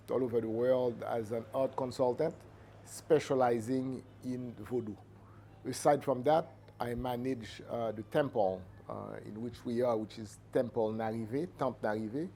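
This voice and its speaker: A middle-aged man with a Haitian accent giving reflective interview answers as a Vodou priest, measured and halting as he searches for words. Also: medium pitch, warm tone. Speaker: Vodou priest and cultural entrepreneur. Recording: noticeable background ambient noise.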